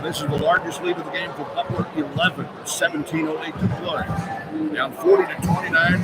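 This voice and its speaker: A man's voice: a little high, steady tone, a little fast. A man doing live radio play-by-play of a basketball game in clipped running phrases, with punchy exclamations when shots score. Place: courtside in a large indoor basketball arena. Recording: very loud arena crowd and noise behind. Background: roaring crowd, arena noise.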